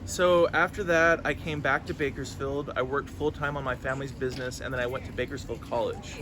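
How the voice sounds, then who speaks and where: A man's voice: medium pitch, steady tone, fairly quick. A man in his thirties, a farmer from California, answering interview questions earnestly, with many restarts. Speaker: farmer, guest. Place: outdoors at a farmers market. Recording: outdoor street noise, traffic.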